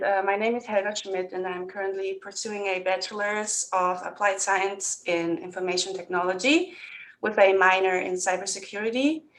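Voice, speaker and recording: A woman's voice: medium pitch, fairly bright tone. A woman from Germany, giving a friendly, businesslike self-introduction as a student candidate on a video call. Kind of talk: prepared self-introduction speech.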